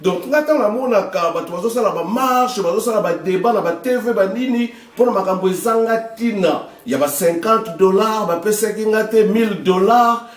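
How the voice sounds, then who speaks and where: Congolese guy talking politics, pretty high voice, explains with proverbs, echoey room and sounds a bit far from the mic. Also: moderate pace, few pauses. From Congo (DRC). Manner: explanatory, uses proverbs, addresses listener as maman. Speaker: political commentator. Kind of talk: political talk show commentary.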